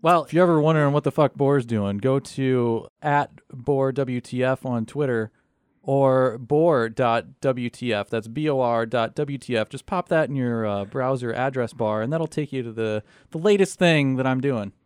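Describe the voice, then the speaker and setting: Clear-voiced man, deadpan casual chat. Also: medium pitch, moderate pace, no room sound, studio quality.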